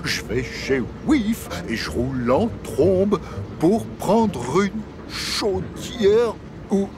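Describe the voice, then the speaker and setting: Forgetful cheery cartoon diesel engine; high, lively, unhurried chuckler. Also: male voice, exaggerated voice. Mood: cheerful, muddled. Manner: chuckling, scatterbrained, sing-song. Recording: cartoon soundtrack with music behind.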